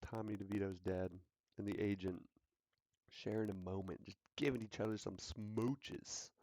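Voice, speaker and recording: A man's voice: lowish voice, fairly even intonation, moderate pace, dark tone, no room sound. A man hosting a casual sports talk show, joking and hyped, repeating his lines for effect. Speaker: sports podcast host.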